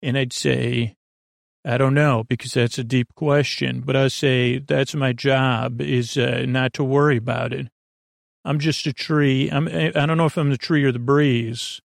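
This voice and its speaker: Gravelly, low-key rambling man. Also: fairly even intonation, no room sound, clean studio sound. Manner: meandering, halting, trails off mid-sentence; gently reassuring. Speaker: podcast host. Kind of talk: rambling bedtime sleep-podcast monologue.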